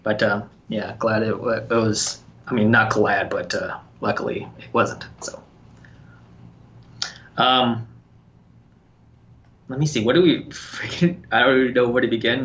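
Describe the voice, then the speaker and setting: Man, podcast co-host, casual rambling talk, bright edgy tone, dull lo-fi sound. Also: mid-range voice. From Thailand. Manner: rambling, many fillers and restarts. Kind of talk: casual chat.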